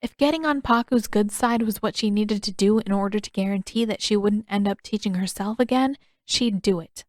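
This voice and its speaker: A woman's voice: medium pitch, a little fast, few pauses, no room sound, studio quality. A woman reading a scripted story aloud as an audiobook narrator. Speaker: fan fiction author reading own story.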